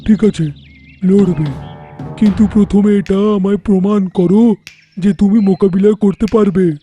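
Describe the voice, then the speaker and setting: A man's voice: high-pitched, fairly even intonation, mellow, soft background music. A man voicing a bold, crafty, boastful elephant in a cartoon, with a swaggering manner. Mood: boastful, taunting. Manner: taunting, swaggering, deliberate. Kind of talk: animated cartoon voice acting.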